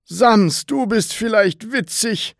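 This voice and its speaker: A man voicing a kindly, mild-mannered grown man in an audiobook, gentle and easily surprised. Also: high voice, slow, creaky, no echo, clean studio sound, pitched above the performer's own voice, full character voice. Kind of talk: audiobook character voice.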